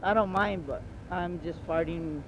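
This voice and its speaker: A hoarse man with a cold, a drunk driving suspect rambling out excuses at a roadside stop. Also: a little high, measured pace, a crowd in the background, poor audio.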